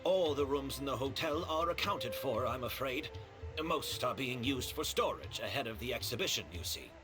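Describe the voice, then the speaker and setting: A man's voice: mid-range voice, animated, moderate pace, over music, lightly acted. An actor playing a courteous, apologetic male hotel host in a video game, with a polite, explanatory way of talking.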